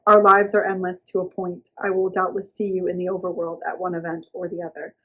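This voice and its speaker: A woman reading aloud as a lovelorn, solemn underworld queen from a novel, formal, hushed and intense. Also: on the low side, flat delivery, a little fast, breathy edge, muffled recording. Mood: resigned.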